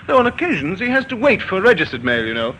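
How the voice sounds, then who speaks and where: High, bright, formal army man, old radio. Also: wildly swooping pitch, fast talker, heavily acted, rough recording. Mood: formal, helpful. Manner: formal, military. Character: clipped male British army officer or soldier. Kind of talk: 1950s radio drama acting. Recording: old radio recording with faint noise.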